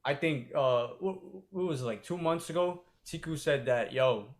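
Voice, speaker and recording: A man's voice: fairly high pitch, normal speed. A man co-hosting a sports podcast, talking loosely with lots of filler and swearing. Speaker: podcast co-host. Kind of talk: casual sports chat.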